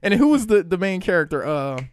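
A man's voice: sits high, big pitch swings, a little fast, smooth. A man chatting casually as a panelist on a podcast, talking into a podcast mic. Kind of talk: casual discussion.

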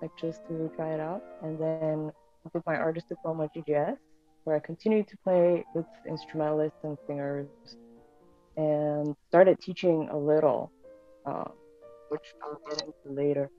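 A woman in her thirties with a low voice, born in Bulgaria, tells her story in a relaxed, rambling way with plenty of fillers and restarts. She sits in a room at home, and the sound comes through thin and phone-like from a video call. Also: normal speed, soft background music. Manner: chatty, many fillers and restarts. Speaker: guest pianist. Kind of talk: casual interview chat. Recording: tinny video call audio.

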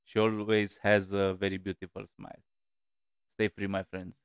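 Smooth low-voiced man reacting to music. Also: flat delivery, moderate pace, no echo, clean studio sound. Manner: thoughtful, hesitant with many fillers. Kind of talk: casual reaction commentary. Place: small room indoors.

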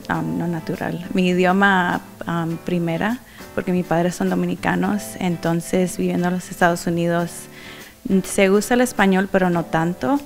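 A young woman student, searching for words with hesitant "um" pauses. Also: lowish voice, gravelly. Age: young adult. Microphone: handheld reporter mic.

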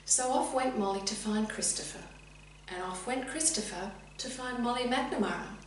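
A middle-aged woman reading a children's story expressively and deliberately, addressing young listeners directly. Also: fairly high pitch. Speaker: teacher reading a picture book. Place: indoors, sitting room with bookcase. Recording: very echoey room, distant mic, faint hum.

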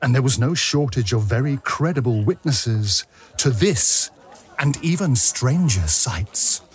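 A man narrating a dramatic scripted story in measured, deliberate phrases. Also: mid-range voice, expressive. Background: music.

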